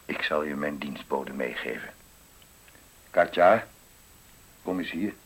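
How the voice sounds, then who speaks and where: Dying old miller: solemn, slow and halting, worn husky voice, 1970s radio drama. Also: male voice, extremely low pitch, a bit bright, exaggerated voice. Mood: commanding. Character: stern dying old miller, father of three sons. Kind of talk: 1970s Dutch radio play acting.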